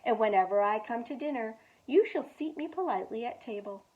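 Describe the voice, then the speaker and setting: A woman as a gentle mother rabbit. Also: a little high, animated, a little fast, natural voice. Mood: tender. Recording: tinny phone-like sound.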